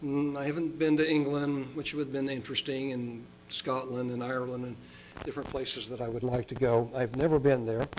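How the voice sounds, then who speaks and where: An older man from Oklahoma preaching a sermon as a pastor, folksy and chatty, slowing down for emphasis. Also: a little hiss or hum, low-quality recording. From Oklahoma, United States. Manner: folksy and chatty, with chuckles and fillers; slows and rises in pitch for emphasis.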